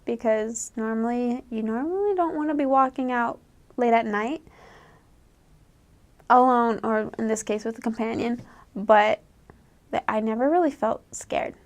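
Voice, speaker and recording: A woman's voice: fairly high pitch, measured pace, pauses often. A young woman from the United States, a returned missionary, giving chatty advice and laughing often as she acts out quoted voices. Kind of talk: casual advice talk.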